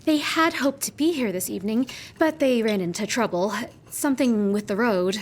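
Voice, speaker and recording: A woman's voice: on the high side, moderate pace, lightly acted. A woman playing a polite noblewoman in a period audio drama, tactful and formal. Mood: polite, evasive. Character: polite young noblewoman making excuses for family.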